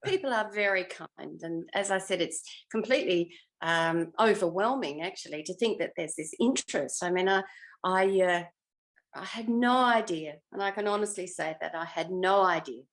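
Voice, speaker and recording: Low-pitched older Australian woman, rambling and modest. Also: normal speed. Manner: self-effacing, rambling, trailing off mid-thought. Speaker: interview guest, former television actress. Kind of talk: casual reminiscing interview.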